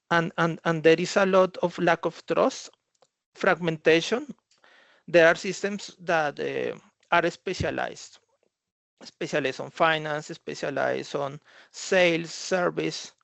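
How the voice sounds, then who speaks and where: Halting male tech presenter, gravelly voice. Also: a little high, dry recording. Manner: halting, repeats and rephrases phrases. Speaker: consultant presenting at a conference. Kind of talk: slide presentation talk.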